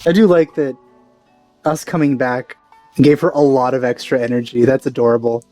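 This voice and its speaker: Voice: medium pitch, fairly even intonation, normal speed, dark tone. A let's play host giving casual gameplay commentary, reacting and musing aloud. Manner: reacts aloud, muses to self. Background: faint game music.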